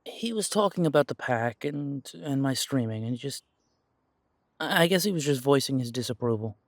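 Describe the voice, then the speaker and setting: A man's voice: mid-range voice, animated, normal speed, dry recording, studio quality, lightly acted. A man playing an earnest, naive young werewolf in an audio roleplay, hesitant, defensive and eager to please. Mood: upset, evasive. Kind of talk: audio roleplay drama acting.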